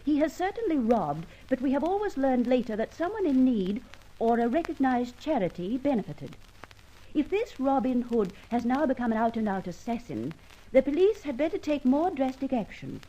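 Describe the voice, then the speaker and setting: Mellow, high-voiced British woman, old radio drama. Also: sing-song, normal speed, lo-fi sound. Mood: grave reading aloud. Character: sceptical, concerned female confidante of a gentleman thief.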